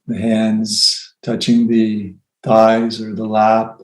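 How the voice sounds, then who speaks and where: Slow, even-toned man guiding meditation. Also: lowish voice. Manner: unhurried, gentle phrasing with long pauses. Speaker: meditation teacher. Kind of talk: guided meditation, softly spoken instruction. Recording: roomy, echoey sound.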